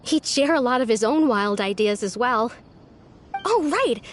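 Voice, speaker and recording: Gentle, lonely young villager: soft, earnest and wistful, with a fairly high voice. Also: female voice, expressive, unhurried. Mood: reflective. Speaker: game villager character. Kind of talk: video game voice acting. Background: faint game music.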